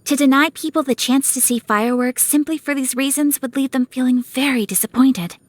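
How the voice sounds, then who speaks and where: Dutiful young noblewoman, polite and measured, higher-pitched, gentle, video game dialogue, very dry sound. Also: dry recording. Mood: gentle, composed. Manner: formal, polite, measured phrasing. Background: music.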